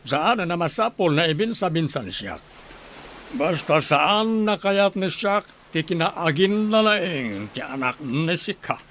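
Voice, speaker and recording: Actor as arrogant, threatening wealthy master, Filipino accent, slightly high male voice, faint noise. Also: expressive, measured pace, fairly bright tone. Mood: haughty, threatening. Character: rich, haughty master threatening a poor family. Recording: noisy background hiss.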